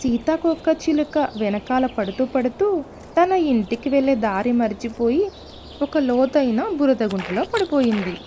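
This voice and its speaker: A woman narrating a children's cartoon story, speaking gently and expressively to the kids. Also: fairly high pitch, lively intonation, moderate pace, slight background noise.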